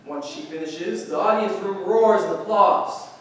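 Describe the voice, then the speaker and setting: A man's voice: sits high, unhurried, fairly bright tone. A man reading stage directions aloud as scripted narration for a staged play reading. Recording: distant, very echoey room, faint noise.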